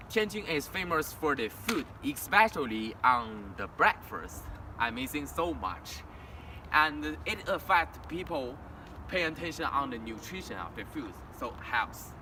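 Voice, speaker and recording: A young man from China with a Chinese accent, whose first language is Mandarin, gives a halting walk-and-talk presentation as an international student, with frequent restarts. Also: mid-range voice, pushed and bright. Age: young adult. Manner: halting, with frequent restarts and simple learner grammar. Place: outdoors in a town, walking near a house and street. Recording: distant outdoor recording with traffic noise.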